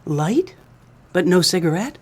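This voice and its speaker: A woman's voice: on the low side, very animated, unhurried, a little mellow, husky, heavily acted. A woman playing a coy, scheming femme fatale widow in a comedic noir radio play, sweet-talking with pet names. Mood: coy, flirtatious.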